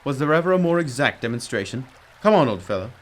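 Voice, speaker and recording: A man's voice: medium pitch, big pitch swings, fast talker, lightly acted. A man playing a brilliant, commanding detective in a radio drama, explaining emphatically with old-fashioned courtesy. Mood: assured, explanatory. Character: brilliant, commanding, self-critical male detective.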